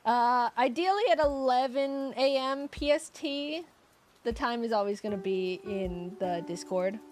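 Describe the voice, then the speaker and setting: Young woman streamer rambling and giggling, voice going a bit scratchy, faint music behind. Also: fairly high pitch. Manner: rambling, giggly, lots of fillers and restarts. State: voice getting scratchy. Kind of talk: casual chat with live chat.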